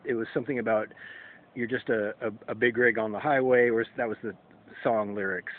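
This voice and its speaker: A man in his forties talking to camera in a solo vlog, halting and hesitant, often restarting and clearing his throat. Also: mid-range voice, fairly even intonation. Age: 40s. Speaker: YouTube creator. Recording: tinny phone-like sound with faint ambient noise.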